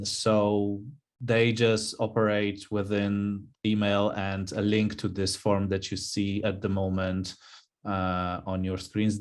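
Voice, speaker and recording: A middle-aged man giving explanatory answers on a webinar video call, halting and pausing mid-sentence. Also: lowish voice. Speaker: webinar panelist.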